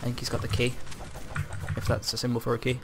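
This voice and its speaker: A man's voice: medium pitch, completely flat delivery, fast, background noise. A man chatting casually as he plays a co-op video game. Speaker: gamer in a co-op let's play. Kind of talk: casual gameplay chat. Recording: game music and keyboard clatter behind. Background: game music, keyboard typing.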